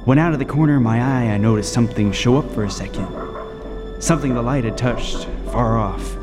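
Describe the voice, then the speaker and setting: A man playing a lighthouse keeper, narrating a radio drama in a brooding, lyrical, deliberate way with dramatic emphasis. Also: medium pitch, natural voice. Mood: suspenseful. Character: world-weary, vivid storytelling lighthouse keeper, male. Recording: long reverb, music behind.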